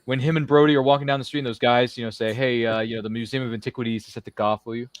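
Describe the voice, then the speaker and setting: Fast-talking man hosting a chat show. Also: medium pitch, steady tone, dry recording. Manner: chatty, jokey, rambling, quotes films. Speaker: host of a live-streamed interview show. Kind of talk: casual chat.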